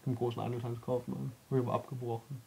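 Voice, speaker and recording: A man's voice: medium pitch, flat delivery, racing, creaky, darkish tone, a little hiss or hum, close to an everyday voice, poor audio. A man in his thirties playing a naive, childlike slacker who asks blunt questions with drawling fillers. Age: 30s. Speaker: comedic interview guest. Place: small cluttered studio room, indoors.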